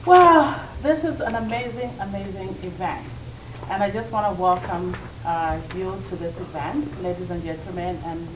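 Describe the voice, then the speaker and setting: Woman from Zambia, halting informal welcome speech, distant mic, clearly noisy. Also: on the low side, reverberant. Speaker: speaker welcoming guests at a community event.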